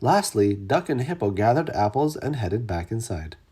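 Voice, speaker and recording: This is a storyteller reading a picture book to children. The delivery swings widely in pitch and leans into dramatic emphasis. The voice has a husky edge. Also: big pitch swings, moderate pace, warm tone. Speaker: storybook reader. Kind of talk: read-aloud children's story narration.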